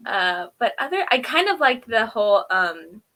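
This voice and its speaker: A woman's voice: a little high, very animated, normal speed, bright, forceful tone. A woman co-hosting a review show in casual chat, telling stories in an informal, self-deprecating way. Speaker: podcast co-host reviewing TV episodes.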